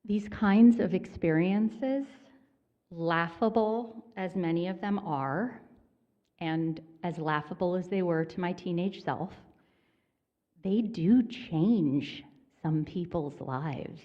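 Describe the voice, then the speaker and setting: Woman pastor giving a sermon, slow and easy, lowish voice, kinda muffled recording. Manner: conversational, self-deprecating humor. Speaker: minister. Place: church sanctuary.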